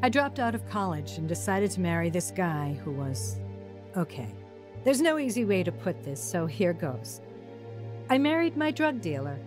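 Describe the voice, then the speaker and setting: An earnest young wife, narrating. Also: female voice, on the low side, sing-song, moderate pace. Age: young adult. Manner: earnest, emotional. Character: young wife and new mother, worn down by a hard marriage. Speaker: narrator and lead character. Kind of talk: first-person narration and radio drama acting. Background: music under narration.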